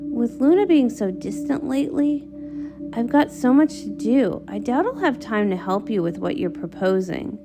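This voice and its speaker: A gracious, burdened young pony ruler , played by a woman, gentle and earnest in a comic read-along. The voice is high, with music behind it. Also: lively intonation, normal speed. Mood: burdened, grateful.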